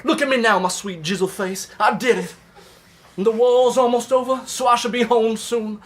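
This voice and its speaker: A man's voice: extremely high pitch, fairly even intonation, moderate pace. A man playing a lewd, boastful Confederate soldier in a comic reading of letters home, with a folksy, florid manner of speech. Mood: giddy boastful pride. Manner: folksy, old-timey, florid. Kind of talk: comedic live reading of love letters.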